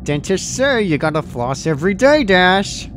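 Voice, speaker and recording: A man's voice: sits high, big pitch swings, moderate pace, background noise, voice pushed up. A man voicing a gleeful, sadistic female cartoon pony in a horror fan story, jovial and joking with mock sweetness. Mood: manic glee. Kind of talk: horror fan fiction character voice.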